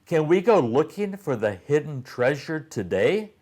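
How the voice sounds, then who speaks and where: Man voicing an eager, higher-pitched young boy. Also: a little slow. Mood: excited. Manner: excited, questioning.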